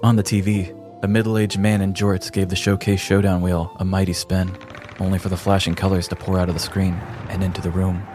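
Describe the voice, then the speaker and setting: Low-voiced man narrating dramatically. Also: level tone, fairly quick, a little mellow, faint music behind. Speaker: podcast host. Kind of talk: scripted comic true-crime narration.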